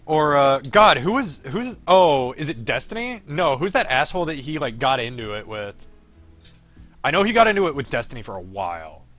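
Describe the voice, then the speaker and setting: A man's voice: medium pitch, big pitch swings, moderate pace, long pauses, fairly bright tone, faint music behind. A man chatting casually on a game livestream, blunt and sweary, reading from the game at times. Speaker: streamer reading a visual novel aloud. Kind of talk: casual livestream chat with read-aloud game dialogue.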